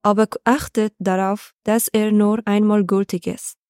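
A woman's voice: mid-range voice, flat delivery, moderate pace, darkish tone, smooth, no echo, clean studio sound. A woman from Afghanistan, a non-native speaker, taking part in a prepared podcast discussion. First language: not German. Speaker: podcast participant.

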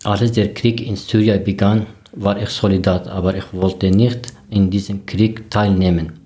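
A man in his thirties from Syria, a podcast guest, speaking carefully and deliberately with some fillers. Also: fairly low pitch, steady tone, dark tone. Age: 30s. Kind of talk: casual podcast interview.

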